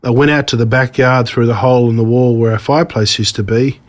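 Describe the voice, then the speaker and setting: An Australian man reads a children's story as a narrator, expressively and with dramatic pauses on key words. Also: mid-range voice, fairly even intonation. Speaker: author reading own story.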